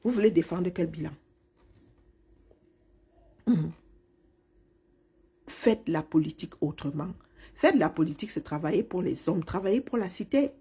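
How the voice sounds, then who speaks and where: A woman's voice: on the low side, mellow, husky. A middle-aged woman, mockingly scolding a politician in a heated live-stream rant. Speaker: commentator replying to a politician.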